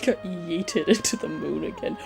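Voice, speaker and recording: A woman's voice: fairly low pitch, normal speed, creaky, over music. A woman gaming streamer giving playful, effusive commentary and reading game text aloud. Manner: effusive, playful, cooing over characters.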